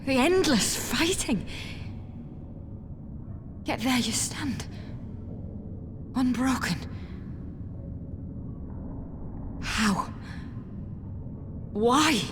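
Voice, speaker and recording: A woman's voice: high voice, expressive, extremely slow, pauses often, noisy background, heavily acted. A woman voicing a bitter, vengeful young woman soldier in a video game cutscene, intense and anguished. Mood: stunned, pained.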